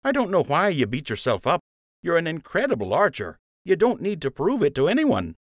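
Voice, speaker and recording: A smirking young rabbit friend, as a male narrator puts him on, high and bouncy in pitch. He ribs his friend with playful, teasing warmth. The sound is dry and close. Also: huge pitch swings, a little fast, dry recording.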